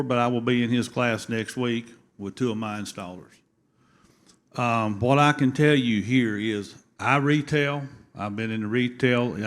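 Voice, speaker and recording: A man's voice: mid-range voice, fairly bright tone. A man in his fifties in the audience, speaking in a folksy, appreciative way. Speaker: audience member.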